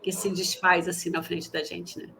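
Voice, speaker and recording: A woman co-hosting a livestream talk show, chatty and lively. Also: lowish voice, normal speed, creaky, slight background noise, rough recording. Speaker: co-host.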